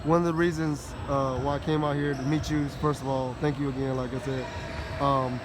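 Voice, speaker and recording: A man's voice: mid-range voice, little pitch movement, brisk. An American man interviewing someone in a casual, agreeable way, often affirming what he hears. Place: at a basketball tournament venue. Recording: noisy gym, bouncing balls.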